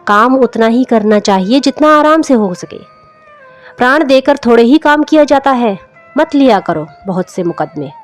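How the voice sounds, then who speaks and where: High-voiced caring young wife, read by a woman. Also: animated, moderate pace, close to an everyday voice. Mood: caring, chiding. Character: caring young wife, gently chiding her husband. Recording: music under the voice.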